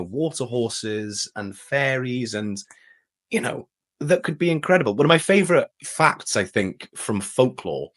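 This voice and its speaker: Man, lowish pitch, interview guest, casual enthusiastic chat. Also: fairly bright tone. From Wales.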